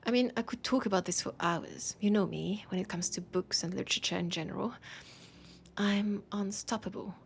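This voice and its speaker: A woman with a French accent playing a weary, passionate bookshop owner in an intimate roleplay, softly and pensively teasing a vampire customer. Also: medium pitch, vocal fry, a little hiss or hum, close to an everyday voice. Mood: musing, passionate. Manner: unhurried, pensive, teasing, with sighs and pauses. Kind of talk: intimate audio roleplay, scripted monologue addressed to listener.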